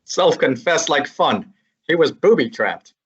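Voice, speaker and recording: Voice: medium pitch, sing-song, unhurried. An actor playing a breezy, joking young male assistant to a detective in a student radio drama. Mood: wisecracking. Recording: lo-fi recording.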